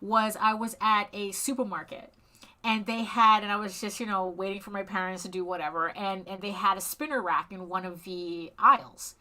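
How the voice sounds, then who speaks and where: A middle-aged woman from Spain with a bright, crisp tone. She talks casually as an interview guest over a video call, often restarting her sentences and breaking into chuckles. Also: medium pitch, steady tone. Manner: halting, with frequent restarts and chuckles. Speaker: comic artist guest.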